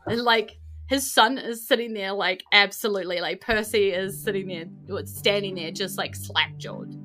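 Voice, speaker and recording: A woman's voice: mid-range voice, moderate pace, pushed and bright, soft background music. A woman with a New Zealand accent narrating a tabletop game as game master, chatty and informal.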